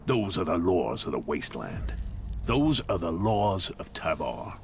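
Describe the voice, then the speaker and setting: A man's voice: low voice, big pitch swings, measured pace, vocal fry, a little hiss or hum, heavily acted. A man playing a ruthless gang boss who rules a wasteland town, menacing, boastful and blunt, in a video game. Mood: boastful, scornful.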